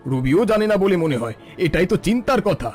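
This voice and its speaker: A man's voice: high-pitched, very animated, fairly quick, a little mellow, faint music behind. A man playing a suspicious, bossy village man in a cartoon story, speaking in an insistent, accusing way. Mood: wary, gossiping.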